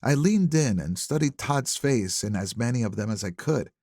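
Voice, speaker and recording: A man narrating a first-person thriller audiobook in a wry, conversational, expressive manner. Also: medium pitch, moderate pace, no echo, clean studio sound.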